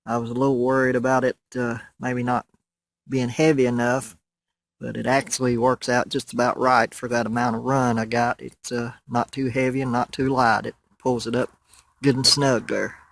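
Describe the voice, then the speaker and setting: A plain-spoken man casually explaining a hobby setup in an unhurried way, outdoors behind a handheld camera. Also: medium pitch, little pitch movement, gravelly, dry recording. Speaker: radio hobbyist. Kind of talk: casual home video walkthrough. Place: outdoors in a wooded yard by a house. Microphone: handheld camera, held by the speaker.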